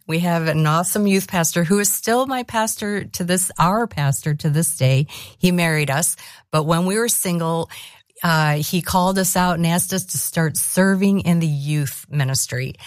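A woman's voice: lowish voice, no room sound, studio quality. A middle-aged woman from South Korea, a missionary and author, sharing her faith story in an earnest, reflective way with frequent laughter. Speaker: interview guest, missionary author. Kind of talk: personal testimony in a faith interview.

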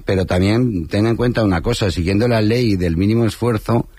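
Husky, low-voiced man chatting. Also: a little fast, mellow, dry recording. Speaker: comedy show host being interviewed. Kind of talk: casual interview chat.

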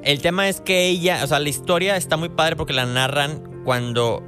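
A man's voice: fairly high pitch, moderate pace, sharp tone, soft background music. A man chatting informally as a panelist on an entertainment review show. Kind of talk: casual series review chat.

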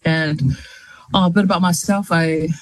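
A woman's voice: fairly low pitch, fairly even intonation, moderate pace, no echo, low-quality recording. A woman in her thirties with a New Zealand accent, a journalist chatting as a guest on a talk show, full of fillers and easy laughs. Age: 30s. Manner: chatty, laughs often, many fillers and restarts. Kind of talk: casual interview chat.

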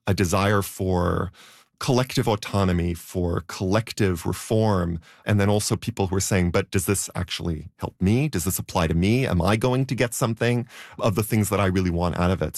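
A man being interviewed as a historian, explaining at a measured pace. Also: low-pitched, darkish tone, dry recording, clean studio sound. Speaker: historian guest. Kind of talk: radio interview answers.

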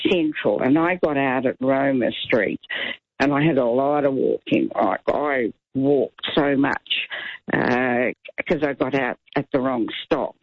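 A woman's voice: deep voice, no echo, dull audio. A woman in her seventies calling in to a talk radio show, chatty and rambling, getting indignant at times. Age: 70s. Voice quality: loud voice. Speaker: caller. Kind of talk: talkback radio call.

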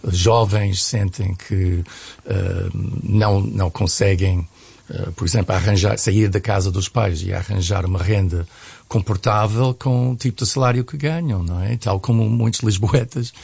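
Gravelly, low-voiced man. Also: moderate pace, a little mellow, no echo. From United States. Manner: hesitant, searching for words. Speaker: guest commentator on a radio programme. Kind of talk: conversational analysis in a radio discussion.

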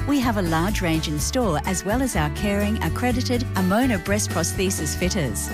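A woman's voice: mid-range voice, expressive, moderate pace, music in the background. Reassuring woman reading an ad. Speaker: ad voice-over. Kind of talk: scripted ad narration.

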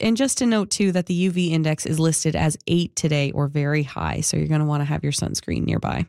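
A woman's voice: on the low side, darkish tone, dry recording, studio quality. A Canadian woman hosting a morning radio show, slightly sniffly from a cold, reading from a script. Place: radio studio.

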